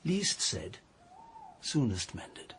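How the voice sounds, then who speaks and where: An actor playing a stiff, pompous, old-fashioned English father in a comic radio drama, with a husky voice and measured delivery. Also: medium pitch, very animated, a bit bright, a little hiss or hum, full character voice. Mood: awkward.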